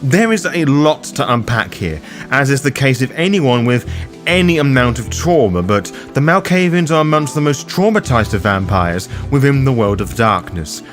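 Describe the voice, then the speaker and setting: A man delivers scripted guide narration with a very animated, varied melody to his speech. His manner is theatrical and playful, with dry asides slipped in. Music plays clearly behind his voice, which sits at an ordinary male pitch. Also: moderate pace. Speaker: host of a roleplaying lore guide series. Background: background music.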